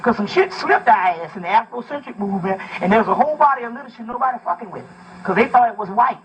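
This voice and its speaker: A man's voice: high voice, lively intonation, quick pace, a bit bright, slightly rough, poor audio. A man giving a fiery, informal lecture, reading a text aloud and explaining it with emphatic profanity and rhetorical questions.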